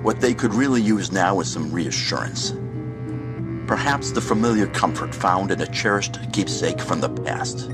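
Guy doing a low spooky narrator, wry n measured, eerie anthology intro with loud music. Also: lively intonation. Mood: ominous, wry. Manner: measured, portentous, clipped.

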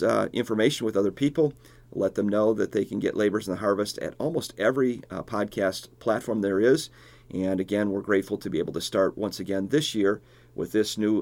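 Gravelly low-voiced man hosting a podcast. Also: a little mellow. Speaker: podcast host and church pastor. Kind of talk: podcast interview.

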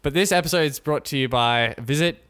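A man co-hosting a podcast in casual chat, rambling and joking with halting restarts. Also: mid-range voice, fairly bright tone, clear, resonant tone. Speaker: podcast co-host. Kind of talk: casual chat, mock sponsor read.

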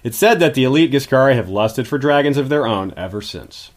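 A man's voice: mid-range voice, animated, fairly quick. A man speaking as a guest history expert, giving scripted, articulate explanations in an informative lecturing manner.

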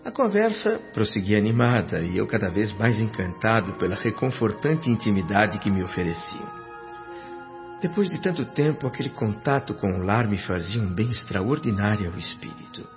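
A man's voice: on the low side, very animated, moderate pace. An actor playing a grateful, wonder-struck Brazilian doctor, earnestly narrating his afterlife in a radio drama. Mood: moved, content. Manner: reflective, earnest. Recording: muffled radio drama with music.

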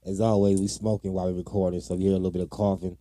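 Dark, mellow-voiced male podcast co-host. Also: sits low, level tone, very fast, dry recording. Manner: informal, slangy talk. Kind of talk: casual true-crime podcast chat.

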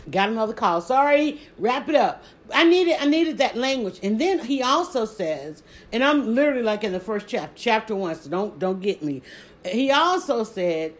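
A woman with a Southern accent chatting casually on a live stream, talking quickly and restarting her sentences often. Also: mid-range voice. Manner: rapid, stumbling restarts, animated emphasis. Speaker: social media creator.

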